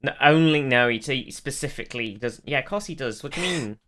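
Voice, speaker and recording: Casual podcast co-host, mid-pitched and bright, clean tone, enthusiastic fan energy, loose sweary delivery. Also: fairly quick. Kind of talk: casual chat, recounting TV episodes.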